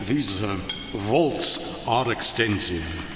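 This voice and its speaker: An actor playing a tipsy, proud, foolhardy nobleman in live gothic radio theater, theatrical and halting, humming and muttering between phrases. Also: fairly low pitch, animated. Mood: tipsy, jovial. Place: large hall before a live audience. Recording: echoey hall, live stage, faint noise.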